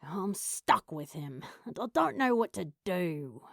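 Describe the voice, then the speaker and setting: A woman's voice: on the low side, very animated, takes it slowly, vocal fry, a bit bright, dry recording, studio quality, heavily acted. A woman voicing a sardonic, exasperated male demon in an audio story, grumbling as he talks. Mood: resigned. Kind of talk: audio fanfiction character acting.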